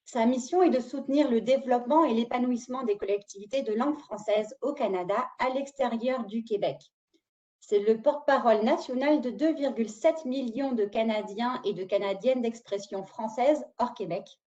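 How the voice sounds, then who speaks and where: A woman from France with a French accent, giving a clear, slightly scripted webinar presentation about immigration. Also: a little high, normal speed, dark tone. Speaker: immigration promotion officer. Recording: dull lo-fi audio.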